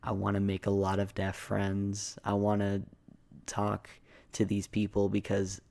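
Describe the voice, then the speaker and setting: Young man, soft-spoken ASMR ramble, very monotone, gravelly fry, casual and hesitant, filler-heavy. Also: on the low side, a little slow. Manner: casual, hesitant, meandering, frequent "like". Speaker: ASMR video creator.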